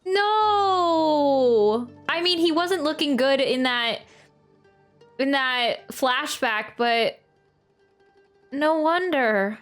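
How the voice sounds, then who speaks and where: A woman gives casual live commentary on a game. Her voice is fairly high and her delivery slow, thinking aloud and circling back over phrases. Game music plays behind her. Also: animated, a bit bright. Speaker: game streamer.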